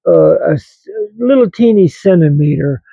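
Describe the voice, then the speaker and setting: A man in his seventies with an American accent, talking reflectively and haltingly to online viewers in a rambling personal vlog. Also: fairly high pitch, lively intonation, creaky, slightly rough, no room sound, narrow, tinny audio. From grew up in West Virginia, United States. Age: 70s. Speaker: vlog host.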